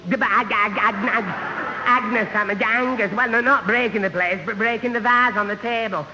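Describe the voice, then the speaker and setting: Man doing a high-pitched, stammering simpleton. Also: steady tone, moderate pace, close to an everyday voice. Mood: apologetic, flustered. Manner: stammering, muddled, giggly. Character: gormless, bumbling, good-natured young man. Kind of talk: 1970s radio sitcom acting. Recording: muffled, noisy old radio, echoing hall.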